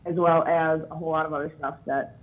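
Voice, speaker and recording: A man's voice: fairly high pitch, level tone, fast. A man, a teacher, giving a casual, loosely phrased presentation about a new club at a meeting. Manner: loose, filler-heavy phrasing. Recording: tinny phone-like line.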